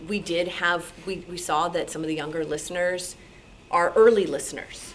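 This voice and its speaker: A woman's voice: lowish voice, fairly even intonation, normal speed. A woman speaking informally as a radio programmer on a panel, explaining decisions in a conversational, hedging way. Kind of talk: explanatory talk to an audience. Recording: noisy live meeting room recording.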